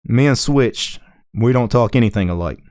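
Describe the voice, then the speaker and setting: A man's voice: medium pitch, animated, darkish tone, dry recording, clean studio sound. A man with a Southern US accent hosting a livestream, chatting casually and unhurriedly with a caller, peppering his talk with "yeah". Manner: laid-back, drawn-out, frequent "yeah"s. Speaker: livestream host. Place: small home room full of computer gear.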